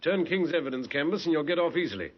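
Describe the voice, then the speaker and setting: A man's voice: on the high side, animated, fast talker. An actor playing a shrewd police inspector in a radio drama, firm and coaxing as he interrogates. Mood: stern. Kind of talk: 1950s radio drama acting. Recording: muffled 1950s radio recording.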